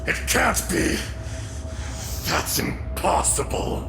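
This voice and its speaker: Casting: wrathful reborn dragon in a youngster's body, high-pitched with a creaky edge, defiant, video game villain. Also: male voice, animated, takes it slowly, over music, full character voice. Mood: outraged. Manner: indignant, explosive, defiant. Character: furious, vengeful dragon spirit reborn in youthful human form. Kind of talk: dramatic video game dialogue.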